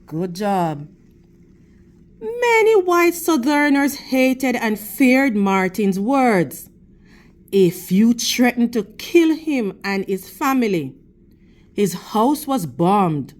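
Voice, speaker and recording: Slow-paced woman reading aloud to children. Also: fairly high pitch, big pitch swings. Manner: deliberate, measured phrasing with questions and praise addressed to young listeners. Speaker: children's read-aloud storyteller and teacher. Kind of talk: read-aloud of a picture book for children.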